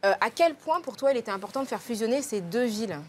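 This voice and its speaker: A woman's voice: a little high, animated, fast talker, slight background noise. A young woman hosting a TV music show, presenting guests and asking questions in an upbeat way. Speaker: TV host. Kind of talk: presenting and interviewing. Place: TV studio set.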